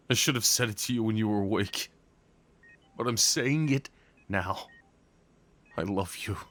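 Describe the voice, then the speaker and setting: Man acting a slow-spoken, lovesick, desperate crime boss. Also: lowish voice, fairly bright tone. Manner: slow, halting, emotional, pleading delivery. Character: devoted, desperate crime boss at his lover's hospital bedside. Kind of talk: intimate ASMR audio roleplay monologue.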